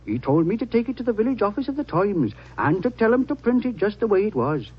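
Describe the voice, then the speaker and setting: A deferential gardener in a 1940s radio play. His voice is husky and lively in its rise and fall. The folksy, respectful talk comes through a dull vintage recording. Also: sits high, quick pace, exaggerated voice. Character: humble, deferential old country gardener, an English servant.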